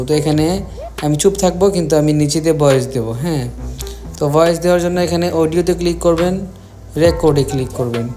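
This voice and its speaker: A man with a Bangladeshi accent giving step-by-step software instructions as a tutorial host, repeating his phrases as he goes. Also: a little high. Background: music.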